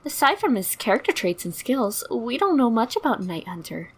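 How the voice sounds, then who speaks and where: Woman as a lively, motherly pony princess. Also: fairly high pitch, husky, full character voice. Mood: friendly. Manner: gentle, motherly, measured. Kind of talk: amateur cartoon audio drama acting.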